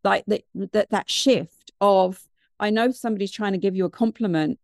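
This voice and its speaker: A British woman in her fifties from the UK, a guest telling her story in a chatty, animated, digressive way. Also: medium pitch, moderate pace, gravelly, no room sound, clean studio sound. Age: 50s. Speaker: guest, mindfulness business founder. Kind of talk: conversational interview storytelling.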